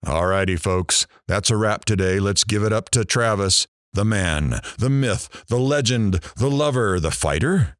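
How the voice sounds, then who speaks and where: A man's voice: fairly low pitch, measured pace, fairly bright tone, no echo, clean studio sound. A man playing a brash, crude and nosy radio talk show host in an audio drama, teasing and showy. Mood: hyped, showy.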